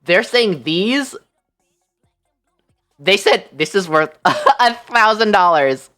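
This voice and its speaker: A woman livestream host telling a story casually, in an animated, incredulous, slangy way. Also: mid-range voice, slow pace, faint music behind.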